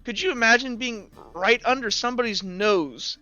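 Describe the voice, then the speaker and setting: A man's voice: very high voice, normal speed, sharp tone, clean tone. A man narrating a tabletop roleplaying game casually as its game master. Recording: dull audio with faint music. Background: faint music.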